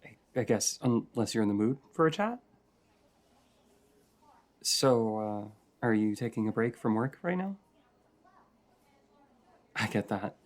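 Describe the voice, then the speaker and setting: A man's voice: mid-range voice, natural voice. Man acting a shy, rambly coffee-shop regular. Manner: hesitant, rambling, softly flirty. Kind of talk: intimate audio roleplay, conversational.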